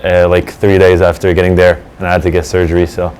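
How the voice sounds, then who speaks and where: A man's voice: sits low, completely flat delivery, fast, a little mellow, clean tone. A man in his twenties from Canada, a student athlete answering interview questions casually. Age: 20s. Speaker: student soccer player.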